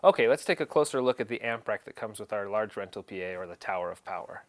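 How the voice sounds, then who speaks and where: A man's voice: on the low side, lively intonation, fast talker, no echo. A man presenting an instructional equipment setup tutorial, explaining each step in a plain, practical way.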